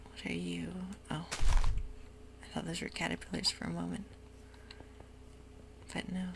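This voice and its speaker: A woman speaks in a slow, gravelly whisper, hushed and soft, with many little ums. Her voice sits a touch low, and keys click behind her as she plays a game at her desk. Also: little pitch movement, background noise. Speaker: ASMR gaming creator. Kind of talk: whispered ASMR gameplay narration.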